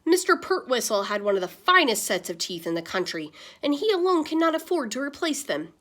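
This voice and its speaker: Woman as storybook male pastor, earnest, emphatic speechmaking, raised pitch, swooping intonation. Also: very animated, brisk, a bit bright. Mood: appealing. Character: earnest small-town male pastor rallying a crowd.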